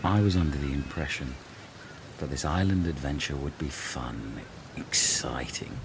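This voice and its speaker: A man putting on a very deep, gloomy castaway. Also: expressive, background noise, full character voice. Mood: gloomy, deflated. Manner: halting, drawn-out, solemn. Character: gloomy, dejected castaway man with dramatic slow delivery. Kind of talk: scripted audio comedy.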